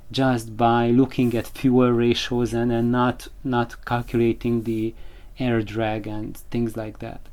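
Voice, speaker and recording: Informal tech explainer; mellow, relaxed tone, mid-pitched, with emphatic phrasing. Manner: emphatic, fond of intensifiers and analogies. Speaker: presenter explaining loudspeaker design.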